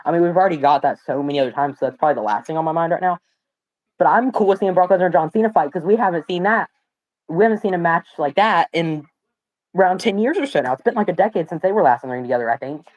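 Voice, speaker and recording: A young man hosting a live watch party stream, talking excitedly with lots of slang and exclamations, his voice hoarse and cracking from a sore throat. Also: on the high side, fast, dull audio. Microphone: phone.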